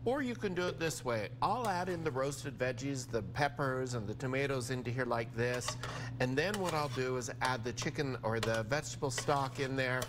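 A man's voice: medium pitch, moderate pace, background noise. An American man in his sixties presenting a cooking demonstration, explaining steps conversationally as a TV chef. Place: indoor TV studio kitchen.

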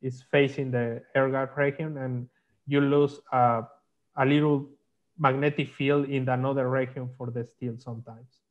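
A man explaining technical slides in halting, on a video call. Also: medium pitch, little pitch movement. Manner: halting, non-native English phrasing. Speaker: guest, magnetics consultant. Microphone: video call microphone. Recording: dull lo-fi online call.

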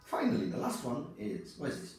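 A man's voice: mid-range voice, big pitch swings, a little fast, a little mellow. A man in his thirties, an English teacher, patiently asking test questions and prompting a child toward full answers. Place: small classroom, indoors. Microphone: far camera. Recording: echoey classroom from far off.

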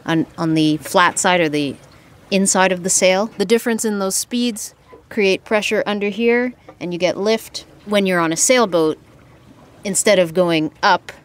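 A woman's voice: mid-range voice, measured pace. A woman in her thirties explaining sailing basics in a casual, practical way, outdoors on a sailboat with a handheld mic. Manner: explains with hand gestures, relaxed and practical. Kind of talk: casual explanatory talk to camera. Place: outdoors on the deck of a sailboat at sea. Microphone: handheld furry lavalier held near the mouth. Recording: faint wind and water noise.

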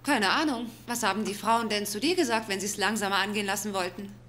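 Eine Schauspielerin spielt eine lockere, direkte junge Nachbarin in einer synchronisierten Sitcom. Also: female voice, mid-range voice, fast talker, lightly acted. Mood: breezy, encouraging. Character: breezy, frank young woman next door. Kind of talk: dubbed sitcom acting. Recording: very noisy TV soundtrack.

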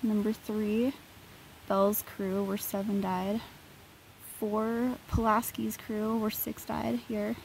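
A woman's voice: mid-range voice, flat delivery, takes it slowly, creaky, a little mellow, slight background noise, poor audio. A woman vlogging on a forest hike, musing as she points out spots on a map. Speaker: hiker vlogger. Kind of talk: casual on-trail commentary. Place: outdoors on a forest trail.

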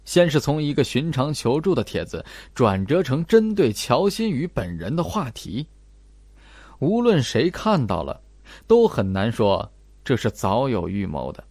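A man's voice: mid-range voice, sing-song, measured pace, darkish tone, no room sound. A man voicing a cold, methodical investigator in an audiobook, laying out an accusation. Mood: accusing. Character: cold, probing male investigator laying out evidence. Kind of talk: audiobook character acting.

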